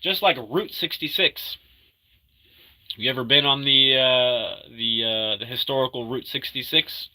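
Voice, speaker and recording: Bright-voiced man rambling on a livestream. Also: mid-range voice, rough recording. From Argentina. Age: 30s. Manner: rambling, halting, full of fillers and restarts; upbeat when greeting viewers. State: sick. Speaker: livestreamer reading chat. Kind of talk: casual live-stream chat.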